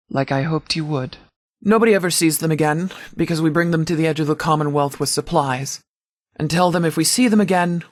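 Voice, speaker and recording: A man playing a stern, protective post-apocalyptic sheriff in a video game, speaking in a formal, deliberate, fatherly way. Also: a little high, dry recording, close to an everyday voice. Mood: fatherly, reassuring, then grave. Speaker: video game mod character actor. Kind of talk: scripted game dialogue.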